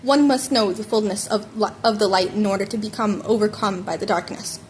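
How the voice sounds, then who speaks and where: A woman's voice: medium pitch, brisk, a little mellow, pitched below the performer's own voice, lightly acted. A woman voicing a grave, cryptic, brooding teenage boy in an amateur audiobook. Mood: solemn. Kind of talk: amateur audiobook character reading. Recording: home recording with background noise.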